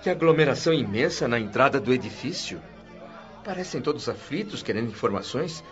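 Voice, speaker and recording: A man voicing a curious, expressive newcomer. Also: mid-range voice, fairly quick, music in the background, close to an everyday voice. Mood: wondering. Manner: wondering, inquisitive. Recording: dull lo-fi radio play audio.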